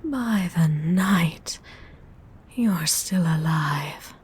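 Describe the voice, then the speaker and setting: Slow, whispering seductive vampire woman, acted by a woman. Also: sits low, animated, heavily acted. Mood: haughty, indulgent. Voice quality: breathy whisper. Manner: slow, haughty, teasing, formal wording. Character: seductive, haughty female vampire feeding on a willing victim. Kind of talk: whispered ASMR roleplay.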